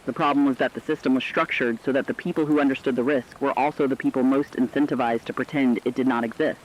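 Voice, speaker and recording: A man's voice: mid-range voice, close to an everyday voice. A man playing a sober radio correspondent, plainly reading a scripted documentary-style report. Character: sober male radio correspondent relaying survivor testimony. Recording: dull lo-fi radio sound, faint noise.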